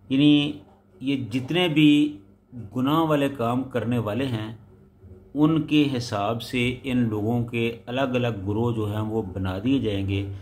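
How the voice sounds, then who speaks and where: A man preaching a sermon. He recites a verse, then explains it in short, measured phrases that rise for emphasis. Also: mid-range voice, darkish tone, a little hiss or hum.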